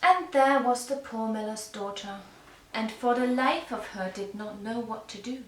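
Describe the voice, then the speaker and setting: A woman storyteller narrating a fairy tale for young children with measured, deliberate phrasing. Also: fairly high pitch. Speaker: storyteller and puppeteer. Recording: echoey room, distant mic, faint noise.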